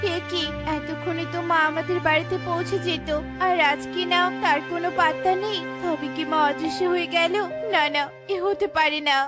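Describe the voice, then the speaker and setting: A woman's voice: extremely high pitch, fairly even intonation, moderate pace, few pauses, over loud music. A woman playing an anxious young mother bird in a children's cartoon, pleading and worried.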